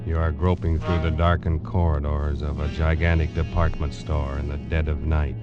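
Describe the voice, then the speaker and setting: Brief: extremely deep, flat male narrator, ominous, dull old recording, loud orchestra. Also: normal speed. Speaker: trailer narrator. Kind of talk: dramatic second-person narration. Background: loud orchestral music with timpani.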